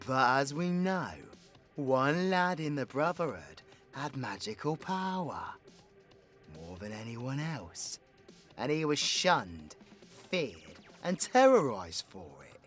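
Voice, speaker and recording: A man's voice: on the high side, very animated, slow, fairly bright tone, faint music behind, full character voice. A man with a British accent playing a cocky, foul-mouthed, sarcastic supervillain who tells stories in an audio roleplay. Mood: bitter, dramatic. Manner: cheeky, sweary, colloquial, theatrical swings into exclamation. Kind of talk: villain audio roleplay, rambling comedic storytelling.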